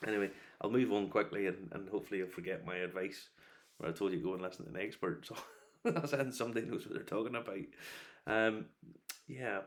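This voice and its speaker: A middle-aged man giving a casual, rambling review, opinionated and wry, breaking into animated asides. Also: on the low side, quick pace. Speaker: whiskey reviewer.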